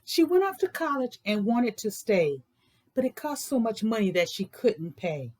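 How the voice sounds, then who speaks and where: A woman host reading aloud for children with expressive, emphatic phrasing. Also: a little high, moderate pace. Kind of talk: expressive picture book read-aloud in rhyming verse.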